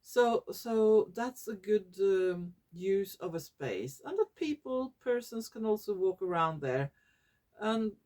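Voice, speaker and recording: A Norwegian woman vlogging in English, her second language, often pausing to search for words and correcting herself. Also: medium pitch, big pitch swings, dark tone. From Norway. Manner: slow and searching for English words, many fillers and self-corrections. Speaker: YouTuber. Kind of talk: casual talking-head vlog.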